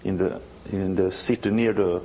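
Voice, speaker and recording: Gravelly Swedish man groping for English words. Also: deep voice, steady tone, moderate pace, dark tone, rough recording. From northern Sweden. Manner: searches for words, many restarts. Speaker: church musician, interview guest. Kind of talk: conversational interview, halting English.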